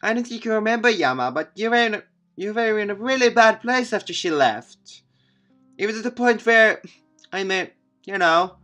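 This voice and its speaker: A man's voice: high voice, lively intonation, normal speed, pushed and bright, soft background music, voice pushed up. A man voicing an upset, stubborn teenage girl in a visual novel, emphatic, hesitant and defensive. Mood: hurt, accusing. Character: upset teenage girl, stubborn, grudge-holding childhood friend.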